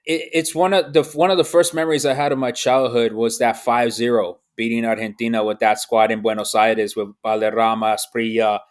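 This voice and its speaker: A man from Miami, a podcast guest talking in a halting but earnest, reflective way, with many restarts and repeated words. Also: mid-range voice, flat delivery. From United States, raised in Miami. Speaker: podcast guest, football fan. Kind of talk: casual interview.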